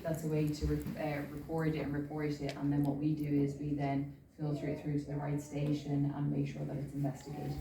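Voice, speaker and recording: A woman police officer from Albania speaking informally at an event, friendly and halting with many fillers. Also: deep voice, noisy background. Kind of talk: informal talk at community event. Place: large indoor hall. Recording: echoey hall from far off.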